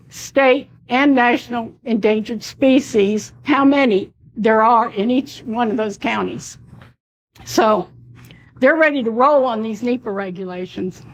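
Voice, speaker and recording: A woman's voice: fairly high pitch, poor audio. Woman speaking while losing her voice. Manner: conversational, with many fillers. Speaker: speaker at a public meeting. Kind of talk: public meeting talk.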